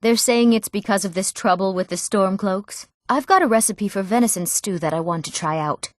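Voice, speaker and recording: A woman's voice: medium pitch, moderate pace, dry recording, studio quality, lightly acted. A young woman voicing an eager, proud young Nord townswoman in scripted fantasy game dialogue, with an earnest delivery. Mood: brisk, friendly. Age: young adult. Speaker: video game voice actor.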